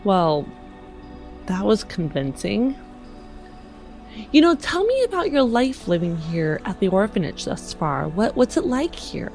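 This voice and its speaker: A woman's voice: mid-range voice, very animated, unhurried, pauses often, a little mellow, faint music behind. A woman playing a tabletop roleplaying game, improvising casually and thinking aloud as she goes.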